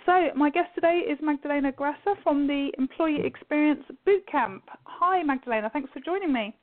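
A woman hosts this interview with a chatty, brisk manner and quick asides. Her voice sits a little higher than most, and it comes through a thin, phone-like line. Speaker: podcast host. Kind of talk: casual interview hosting. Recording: phone-like call audio.

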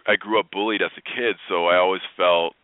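A man's voice: deep voice, steady tone, a little fast, no echo. A man being interviewed as a guest, explaining in a conversational, emphatic way and repeating phrases for emphasis. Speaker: guest, workplace positivity trainer and speaker. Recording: narrow phone-like band, dry.

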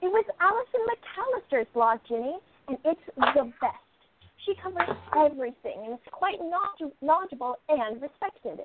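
A woman's voice: high-pitched, big pitch swings, moderate pace. A woman playing a curious, confident exiled princess turned sleuth in a radio play. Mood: playful insistence. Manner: confident, insistent. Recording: thin phone-like sound, noisy line.

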